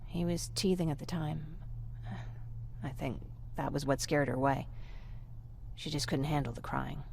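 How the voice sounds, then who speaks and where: A woman playing a gentle, wistful young survivor with a creaky voice in a video game. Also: low-pitched, steady tone, a little slow, a little hiss or hum. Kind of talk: video game dialogue acting.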